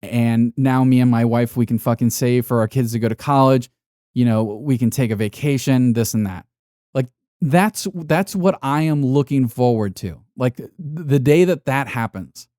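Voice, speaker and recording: A man's voice: medium pitch, mellow, dry recording, studio quality. A man hosting a solo podcast in an unscripted monologue, ranting bluntly and emphatically with heavy swearing to motivate. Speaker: podcast host.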